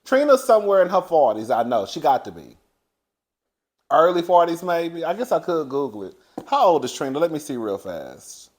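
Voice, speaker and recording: Male host, 30s, animated gossip monologue with a slightly high voice and frequent laughter. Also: moderate pace. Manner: animated, laughs often, chatty.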